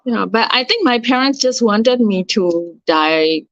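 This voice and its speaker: A woman's voice: a little high, animated, a little slow, no room sound. A woman, chatting as an interview guest and searching for words with many fillers. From Myanmar. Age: 30s. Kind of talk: casual interview chat. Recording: dull, slightly lo-fi sound.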